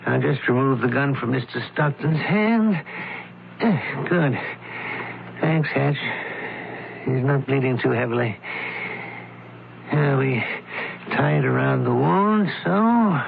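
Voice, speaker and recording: Thin noisy radio sound, composed doctor detective, gravelly, slightly high voice, measured and probing. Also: male voice, lively intonation, full character voice. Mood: firm, accusing. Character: shrewd, composed male doctor sleuth questioning suspects. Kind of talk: old-time radio drama acting. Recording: thin, noisy phone-like vintage radio recording.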